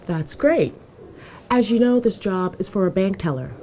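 A woman's voice: mid-range voice, lively intonation, normal speed, mellow, slight background noise, lightly acted. A middle-aged woman playing a poised, courteous bank manager who interviews a job applicant in an office, speaking politely and formally in a scripted dialogue. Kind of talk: scripted dialogue for language learners.

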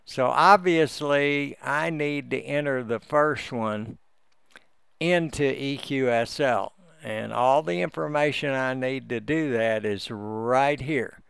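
Slow, gravelly man explaining. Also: mid-range voice, a bit bright, dry recording. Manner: halting, explanatory, step by step. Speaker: amateur radio hobbyist giving a tutorial. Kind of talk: unscripted screen-share walkthrough. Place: indoors, close dry voice-over for a screen recording.

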